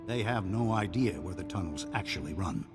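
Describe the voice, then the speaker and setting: A commanding, animated military officer. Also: male voice, deep voice, a little fast. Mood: serious, commanding. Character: commanding male military officer giving mission briefings, serious. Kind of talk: scripted video game acting. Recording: game cutscene with music underneath.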